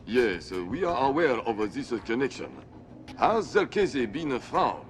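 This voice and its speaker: An actor playing a formal, grave Japanese admiral in a video game, speaking with precise wording. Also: male voice, mid-range voice, very animated, a little slow. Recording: music playing behind.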